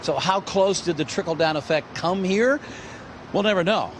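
Older American TV reporter, airport noise behind. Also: male voice, high voice, moderate pace. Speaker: field TV news reporter. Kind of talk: live news report and scripted narration. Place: airport terminal and curbside. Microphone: handheld reporter microphone.

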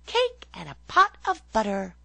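A woman voicing a cheerful, innocent young girl in a read-aloud fairy tale, with a bright, sing-song delivery. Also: fairly high pitch, wildly swooping pitch, measured pace, creaky, no echo, pitched above the performer's own voice, heavily acted. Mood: eager.

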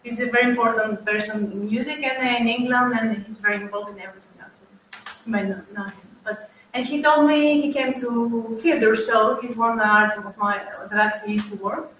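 A woman's voice: fairly high pitch, fairly even intonation, fairly quick, fairly bright tone, slight background noise. A woman speaking at a panel discussion about working with artists, rambling and often restarting her sentences. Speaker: panel speaker, music manager. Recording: very echoey distant live feed with faint ambience.

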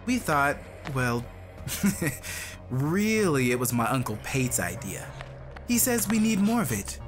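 Creepy mocking young mutant villain, audio drama, pitch all over, music behind. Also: male voice, sing-song, slow. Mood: taunting menace. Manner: taunting, mock-casual. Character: menacing unhinged mutant young man, mocking and controlling.

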